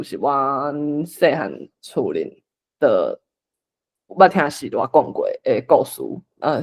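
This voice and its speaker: A host with a Taiwanese accent, moderating a casual discussion and inviting others to share. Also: mid-range voice.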